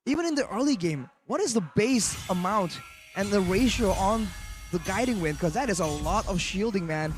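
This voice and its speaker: Dude breaking down an esports match, really high voice, loud music playing under. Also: male voice, expressive, normal speed. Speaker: esports color commentator. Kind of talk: analytical esports commentary.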